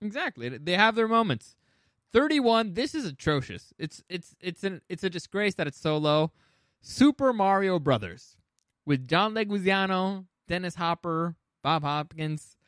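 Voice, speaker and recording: A man with a fairly high voice and a close, dry sound chats as a co-host. He is opinionated and loose, stumbling into restarts and swearing casually as he works through a ranked list. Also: animated, a little slow, a bit bright, no echo. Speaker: podcast co-host. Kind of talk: casual chat ranking movies.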